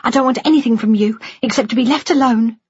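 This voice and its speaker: A woman plays a young adopted daughter in a dramatised audiobook. She sounds wounded, cold and defiant, pitched well up and throwing accusations. The recording is slightly muffled. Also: fast talker, slightly rough, no echo. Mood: angry, cold.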